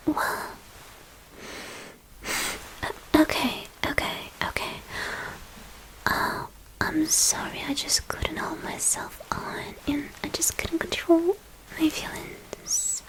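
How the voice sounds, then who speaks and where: A woman playing a shy, apologetic but hungry young vampire girl in an ASMR roleplay, speaking in a hesitant, intimate, breathy whisper. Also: high voice, poor audio. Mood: flustered, apologetic.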